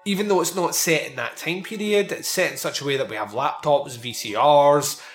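A man's voice: on the high side, moderate pace. Rambling man reviewing a film, roomy echo. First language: not Italian. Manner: rambling, with many fillers and swearing. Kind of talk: casual film review talk. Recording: roomy echo, faint music behind.

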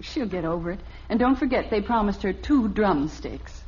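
A patient, gently teasing American wife and mother of middle age in an old radio comedy. Her voice is husky, with animated intonation. The sound is dull, like an old broadcast. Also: mid-range voice, normal speed. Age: middle-aged. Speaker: actor. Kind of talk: old-time radio family comedy acting.

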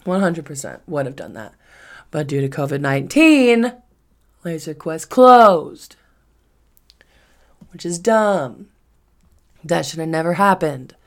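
A woman's voice: sits low, very animated, slow, pauses often. A woman in her twenties chatting casually on a solo podcast, rambling and swearing freely with emphatic repetition. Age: 20s. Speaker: podcast host.

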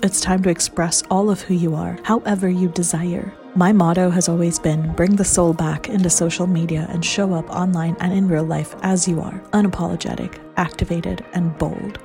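A woman's voice: fairly low pitch, steady tone, moderate pace, few pauses, warm tone, faint music behind. A woman hosting a podcast, speaking in an enthusiastic, uplifting, motivational way. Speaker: podcast host. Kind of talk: scripted podcast intro, then casual interview chat.

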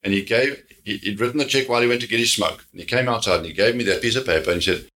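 Hombre sudafricano de voz grave y brillante. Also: male voice, fairly low pitch, very fast, bright, forceful tone. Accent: South African. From South Africa. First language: Afrikaans. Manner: earnest, repeats words while telling a faith story. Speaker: guest, security company founder. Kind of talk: storytelling in an interview.

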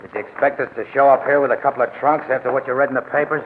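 An actor playing a tough, suspicious bank robber on the run, terse and menacing, in a 1940s radio crime drama. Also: male voice, mid-range voice, steady tone, racing, close to an everyday voice. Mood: gruff. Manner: terse, hard-boiled, menacing. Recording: narrow old-time radio recording with noise.

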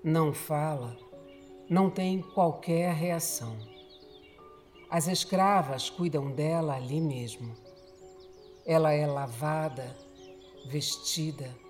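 Female narrator, Brazilian, grave radio-soap storytelling, very slow delivery, music underneath. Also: low-pitched, darkish tone. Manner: solemn, measured phrasing.

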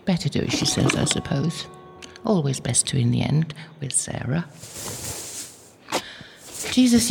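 An actress playing an old, devout, lonely but feisty medieval anchoress in an audio drama, creaky-voiced and muttering to herself. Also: female voice, low voice, a little mellow, slight background noise, full character voice. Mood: musing, devout. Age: older. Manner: talking to herself, muttering and exclaiming.

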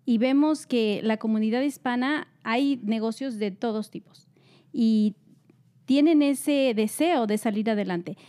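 A woman with a slightly high voice co-hosts a panel talk. She speaks in an upbeat, encouraging way, with bright rises in her voice. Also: measured pace. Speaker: co-host.

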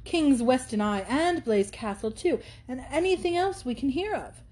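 A woman's voice: high voice, animated, normal speed, darkish tone, pitched above the performer's own voice. A woman reading aloud and voicing a brash, boastful young man who talks over everyone. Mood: blustering. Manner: blustering, insistent.

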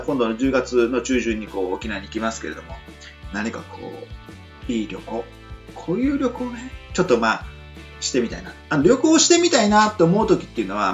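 Animated, joking male podcast host. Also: mid-range voice. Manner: joking, playful, rambling with hesitations. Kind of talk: casual chat, light banter. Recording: dull lo-fi audio with faint music.

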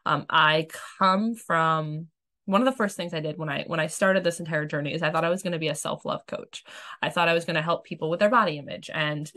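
A woman in her thirties talks casually into her podcast mic from home, her lowish voice gone hoarse and raspy with a cold. She is chatty and frank, swearing now and then as she speaks. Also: fairly quick, sharp tone. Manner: candid, chatty, swears freely. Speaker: podcast host. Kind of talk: casual spiritual self-help podcast talk. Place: home room with dogs.

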